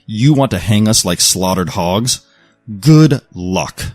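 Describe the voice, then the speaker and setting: A man's voice: on the low side, animated, slow, a little mellow, no room sound, faint music behind. A man playing a vengeful killer in a dramatized audio story, speaking with bitter, sarcastic menace. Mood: cold menace.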